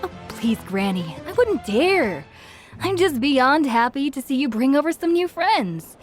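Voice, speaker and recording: A woman's voice: sits high, big pitch swings, unhurried. A woman voicing a cheerful, gossipy young tavern keeper in a video game. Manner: friendly, gossipy. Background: game music.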